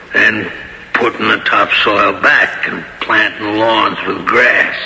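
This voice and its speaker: A man playing a wry, folksy old countryman with a rural American accent and deliberate, sardonic delivery in a radio drama. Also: fairly low pitch, bright, forceful tone, full character voice. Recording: old radio recording, reverberant, faint hiss.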